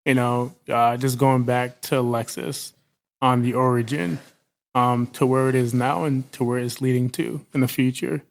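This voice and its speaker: Gravelly man guest, relaxed. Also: medium pitch, normal speed. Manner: frequent short agreements. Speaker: guest, business co-owner. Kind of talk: casual interview chat.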